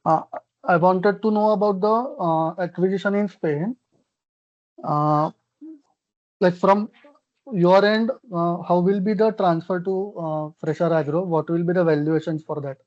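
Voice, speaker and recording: An investor puts questions on a conference call. The delivery is polite and halting, in a medium-pitched voice. The line sounds muffled and lacks detail. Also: darkish tone. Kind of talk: unscripted questions on an earnings call. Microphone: conference call connection.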